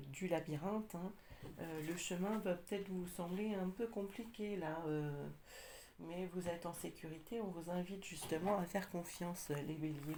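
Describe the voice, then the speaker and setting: A woman with a slight cold doing a casual tarot reading, talking hesitantly with many pauses and fillers. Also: on the low side, dark tone, a little hiss or hum. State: has a cold, slightly congested. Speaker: tarot card reader.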